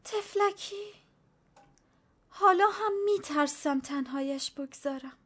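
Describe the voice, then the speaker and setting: A woman's voice: sits high, a bit bright, voice pushed up. A woman voicing an old, stooped female servant who is fearful and wily, pleading with her master in a raised voice. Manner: pleading, superstitious chatter.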